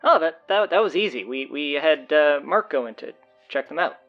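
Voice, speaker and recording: A woman playing an earnest, defensive high school student with a wordy way of talking, in an audio drama. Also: animated, moderate pace, lo-fi sound, soft background music. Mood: flustered, evasive.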